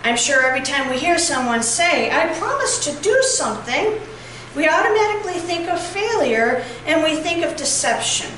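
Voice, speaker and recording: A middle-aged woman pastor preaching a reflective, measured sermon at a pulpit, heard from a far camera. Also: high-pitched, big pitch swings, a bit bright, slight background noise. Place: church sanctuary, at a pulpit. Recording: very echoey church from a distance.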